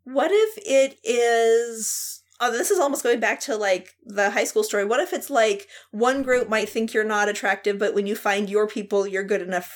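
Higher-voiced woman in casual chat. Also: normal speed, few pauses. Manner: informal, thinks aloud in loose half-finished phrases. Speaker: podcast panelist.

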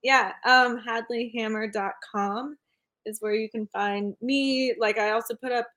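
A woman's voice: a little high, steady tone, measured pace, a bit bright. Laughing, chatty woman guest. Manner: laughs often, self-deprecating. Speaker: podcast guest. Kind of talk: casual interview chat.

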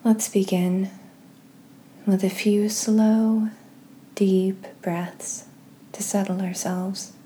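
A woman leading a guided meditation and scripture reading in a gentle, soft-spoken, measured way with pauses. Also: medium pitch, level tone, slight background noise. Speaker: host of a guided prayer podcast.

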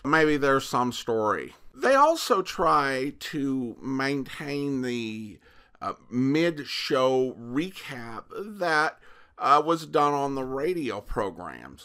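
A man giving conversational review commentary as a podcast host, in an analytical, measured manner with hesitant pauses. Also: medium pitch, sharp tone.

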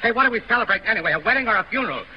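Brash bitter showbiz comic, high voice, slangy and theatrical, muffled echoey 30s radio. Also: male voice, expressive, rapid-fire, slight background noise. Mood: forced cheer, bitter. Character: brash, hard-drinking, bitter male burlesque comedian. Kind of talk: 1930s radio drama acting.

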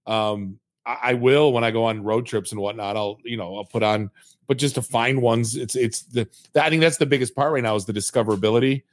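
A man's voice: mid-range voice, fairly quick, dry recording, studio quality. A man hosting a podcast who tells rambling, self-mocking stories in a chatty, casual way. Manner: chatty, storytelling, self-mocking, many restarts. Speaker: podcast host.

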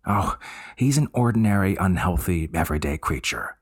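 A man's voice: sits low, animated, normal speed, breathy edge, no echo, clean studio sound. A man voicing an anxious young woman who speaks in an earnest, pleading way.

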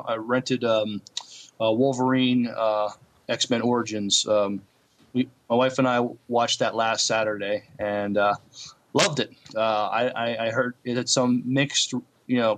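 A man's voice: medium pitch, fairly bright tone. A man co-hosting a podcast in casual chat, hesitantly repeating words as he searches for the next. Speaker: podcast co-host.

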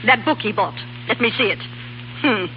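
An actress playing a stern, suspicious, prying older shopkeeper aunt in a 1930s radio drama. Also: female voice, a little high, animated, normal speed, close to an everyday voice. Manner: curt, prying. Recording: noisy, muffled 1930s radio recording.

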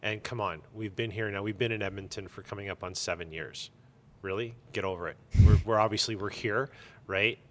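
A man's voice: lowish voice, fairly even intonation, brisk. A man talking casually and emphatically in an interview, giving blunt opinions as a shop owner.

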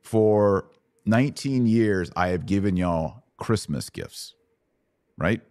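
A man's voice: on the low side, expressive, slow, a little mellow, no room sound, studio quality. A middle-aged American man hosting a conversational religious question session, explaining step by step and checking understanding with short questions. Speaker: host answering Bible questions.

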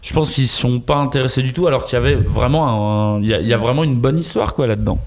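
A man's voice: medium pitch, fast talker. A man reviewing manga in a casual, opinionated group discussion, speaking emphatically and slangily, often repeating words as he searches for the next.

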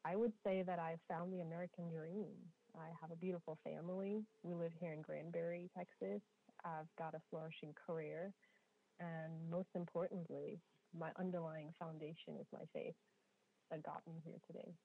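A woman's voice: on the low side, steady tone, normal speed, gravelly. A woman from Trinidad and Tobago telling her personal story conversationally as a podcast guest over a tinny, narrow call line.

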